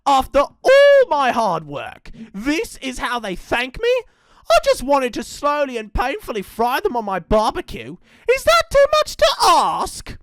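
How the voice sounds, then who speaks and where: A woman voicing a big bad male dingo, loud and theatrical, greedy and scheming. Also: big pitch swings, takes it slowly, creaky, no echo, full character voice. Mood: furious. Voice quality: loud, theatrical, sliding high when faking a sweet voice.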